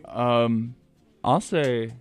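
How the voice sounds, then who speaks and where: A man's voice: mid-range voice, extremely slow. Man in casual chat over music. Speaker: podcast panelist. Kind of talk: casual chat about video games.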